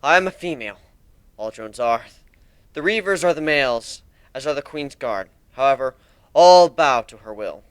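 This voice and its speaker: A man's voice: mid-range voice, animated, normal speed, clear, resonant tone, dry recording, close to an everyday voice. A man voicing a captive female insectoid shapeshifter drone, frightened but defiant, in a strained voice for a dramatic story reading. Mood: resigned, explaining.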